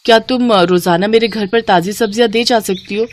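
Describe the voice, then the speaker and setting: A polite, gentle young expectant mother from a cartoon moral story, voiced by a woman. Her voice stays close to the narrator's own, with an even, mid-range pitch. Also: fairly quick, slight background noise, lightly acted.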